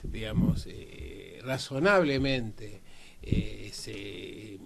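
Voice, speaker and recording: A man with an Argentine accent speaking in measured, formal words in a panel discussion. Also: on the low side, gravelly, crowd noise. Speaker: panelist on a history programme.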